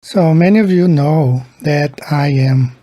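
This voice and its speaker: Slow, husky-voiced Brazilian-born man. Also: a little high, mellow, no echo, rough recording. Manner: slow, halting, repeats words. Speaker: video creator. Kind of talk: casual explainer monologue.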